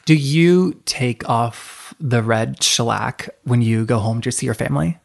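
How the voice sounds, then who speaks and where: A man's voice: medium pitch, measured pace, vocal fry. A man interviewing a guest, asking thoughtful follow-up questions in a conversational way.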